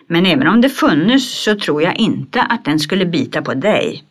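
Woman doing a calm, kindly old doctor. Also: fairly low pitch. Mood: reassuring. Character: calm, kindly older male town doctor.

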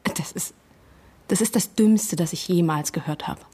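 An actress playing a hurt, exasperated wife in a radio drama, pressing for answers in an accusing, emotional, insistent way. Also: female voice, on the low side, moderate pace, mellow, breathy edge. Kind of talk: naturalistic radio drama acting.

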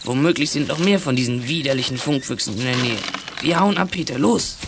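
An actor playing a blustering, short-tempered crook who barks indignant orders as gang leader in a German youth radio play. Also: male voice, mid-range voice, animated, brisk, noisy background, close to an everyday voice. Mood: alarmed, bossy.

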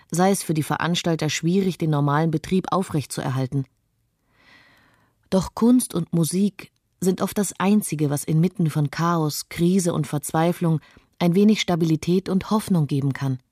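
Woman acting composed wife in a radio play, low-pitched, dark relaxed tone, earnest scripted narration, dry sound. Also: moderate pace, no echo. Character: earnest musician's wife who believes art gives hope.

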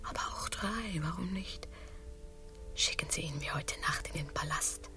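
A woman playing a coquettish, theatrically passionate Spanish queen in a comic radio play, breathy and suggestive. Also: sits low, normal speed, pushed and bright, whispered, soft background music. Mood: sly, flirtatious.

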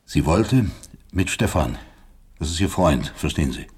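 An actor playing a guarded professor under questioning in a radio drama, terse and pedantic, with a husky voice. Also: male voice, very deep voice, sing-song, unhurried, a little mellow, exaggerated voice. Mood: guarded, dry. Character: guarded male professor under questioning, drily evasive father.

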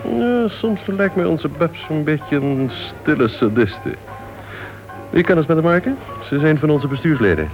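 An actor playing a chatty, genial club member in a Dutch radio play. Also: male voice, on the high side, sing-song, measured pace, faint music behind. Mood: genial, teasing. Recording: dull old radio recording.

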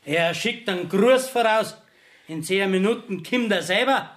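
An actor playing a blunt, unfazed manservant with a Bavarian accent in a dialect radio play. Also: male voice, high voice, a little slow, a bit bright. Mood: businesslike. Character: blunt, unfazed manservant of a visiting court official.

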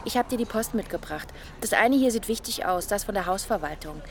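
Radio play role: gentle young mum acted by a woman, patient and soothing, natural delivery. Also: mid-range voice, lively intonation, brisk, noisy background, lightly acted. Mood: soothing, concerned. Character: caring young mother and wife, soothing and patient. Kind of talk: naturalistic radio drama acting.

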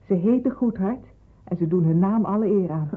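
An actress playing a practical nurse in wartime hiding in a radio drama, with a brisk, organising manner. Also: female voice, medium pitch, husky, close to an everyday voice. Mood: friendly, confiding. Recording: muffled, phone-like radio play recording.

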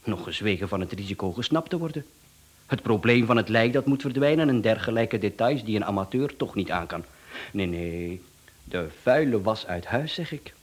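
Courteous hired killer, Flemish accent, smug, mockingly polite, unhurried and self-assured, radio play. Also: male voice, lowish voice, expressive, husky. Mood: smug, matter-of-fact. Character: smug, courteous, chatty male professional hitman. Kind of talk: radio drama acting.

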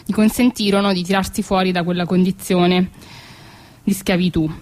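A woman storyteller narrating historical events aloud, measured and phrase by phrase, with pauses. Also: mid-range voice.

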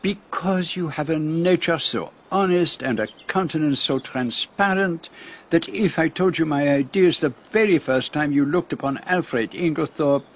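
An actor playing an astute, theatrical Belgian detective with a French accent, speaking formally and precisely in a radio drama. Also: male voice, fairly high pitch, moderate pace, slightly rough, highs cut off. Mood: amused, teasing. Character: astute, theatrical Belgian male detective, fussy and gently teasing.

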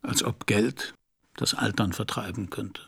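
Gravelly, world-weary noir private detective. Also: male voice, fairly low pitch, steady tone, fairly bright tone, in a whisper, full character voice. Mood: quietly accusing. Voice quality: gravelly, husky. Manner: subdued, melancholy, deliberate. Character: world-weary, broke, pipe-smoking male private detective. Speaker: narrating protagonist. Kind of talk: intimate noir radio drama narration and dialogue.

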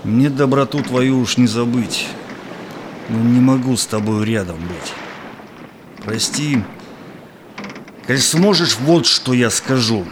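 An actor playing a proud, cynical Cossack robber in a rhymed verse audio play, declaiming emphatically. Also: male voice, medium pitch, takes it slowly, long pauses, noisy background, lightly acted. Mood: grudgingly grateful.

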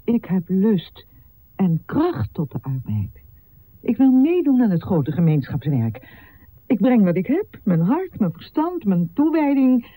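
A woman's voice: medium pitch, big pitch swings, a little slow, warm tone, low-quality recording. An actress playing an earnest, principled woman physician in a radio drama, speaking with solemn, impassioned formality. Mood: impassioned plea. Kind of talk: declamatory radio drama oratory.

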